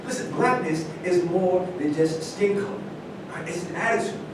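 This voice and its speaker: A man as a cocky, higher-voiced successful man. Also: normal speed, lightly acted. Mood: assertive. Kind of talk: staged play reading. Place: large indoor room at a live staged reading, distant mic. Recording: very echoey, noisy room from far off.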